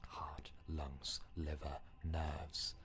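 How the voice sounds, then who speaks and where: A man voicing an obsessive inner voice in a game, chanting repetitively in a hushed, breathy whisper. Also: very deep voice, little pitch movement, extremely slow, full character voice.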